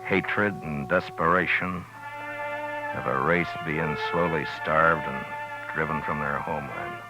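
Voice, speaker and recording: An American actor playing a world-weary, steady frontier marshal in a 1950s radio western, speaking in a grave, laconic way. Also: male voice, rumbling low, unhurried, low-quality recording. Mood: somber. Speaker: lead actor and narrator. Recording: vintage radio recording with music.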